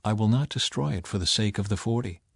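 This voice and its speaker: Man acting God, grave merciful judge, measured delivery, scripture audiobook, dry close sound. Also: on the low side, creaky, breathy edge, dry recording. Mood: calm, measured.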